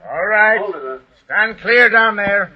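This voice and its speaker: Gruff bossy cop, husky low voice, muffled old radio. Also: male voice, expressive, full character voice. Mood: commanding. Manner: brisk, commanding, blunt. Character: gruff no-nonsense rescue cop, older man. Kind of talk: 1940s radio acting.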